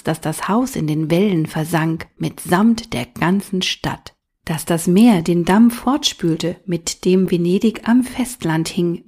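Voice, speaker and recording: Audiobook narrator: woman, low register, slightly breathy, calm and even storytelling.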